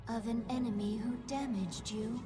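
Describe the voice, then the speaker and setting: An actress voicing a devoted alien advisor to a swarm queen in a video game, solemn, reverent and measured. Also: on the high side, steady tone, music in the background, natural voice. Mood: reverent, curious. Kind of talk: dramatic video game acting.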